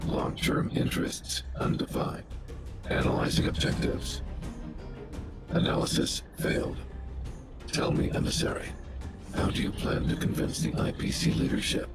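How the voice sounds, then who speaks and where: A man's voice: normal speed, pauses often. A man voicing a giant, stoic guardian robot with formal, clipped, analytical speech and a robotic filter over game music. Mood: cold, analytical. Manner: formal, clipped machine-like statements.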